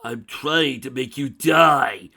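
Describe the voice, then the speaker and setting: A man voicing a furious young male dragon who shouts and screeches threats at a villain. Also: lowish voice, lively intonation, moderate pace. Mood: enraged.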